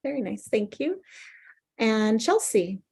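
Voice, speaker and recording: A woman's voice: fairly high pitch, sing-song, slow, creaky, a little mellow, husky. A woman casually hosting a group event and handing over to each participant. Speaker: host of a live table read.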